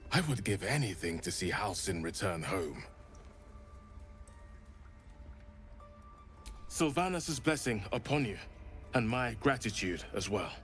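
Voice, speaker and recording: Earnest, worried druid, very expressive. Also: male voice, mid-range voice. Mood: grateful hope. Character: worried, earnest male druid loyal to absent master. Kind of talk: dramatic video game acting.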